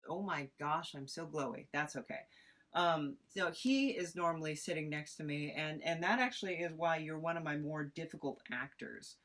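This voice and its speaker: A woman talking casually as a podcast director, in a rambling, halting, unscripted way. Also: low voice, fairly bright tone.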